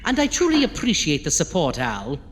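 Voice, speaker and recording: A man's voice: fairly high pitch, wildly swooping pitch, fairly quick. A man playing a gleefully malicious, pompous undead horror host with a theatrical, arch delivery in a campy audio drama. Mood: gleefully sinister. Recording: long reverb, faint noise.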